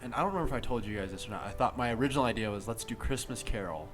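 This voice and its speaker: A man's voice: fairly low pitch, rapid-fire. Rambling man chatting over music. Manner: rambling, peppered with "like". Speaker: podcast co-host. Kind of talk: casual group chat. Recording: music playing behind the voice.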